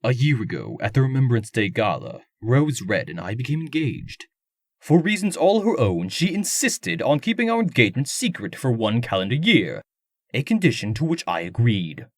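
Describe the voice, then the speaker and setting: A wealthy, pompous comic-dub aristocrat voiced by a man. His speech is formal and haughty, with highly animated intonation. The recording is very dry. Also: moderate pace, dry recording.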